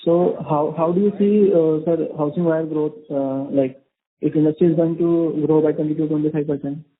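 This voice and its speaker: A man, an analyst asking questions on an earnings call, halting and repeating his words. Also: fairly high pitch, fairly even intonation. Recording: phone line.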